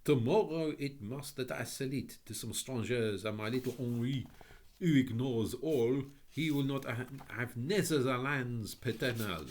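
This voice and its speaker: A man voicing an anxious, tearful foreign lady who speaks in fussy, halting, foreign-sounding phrases. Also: medium pitch, a little mellow, voice pushed up, lightly acted.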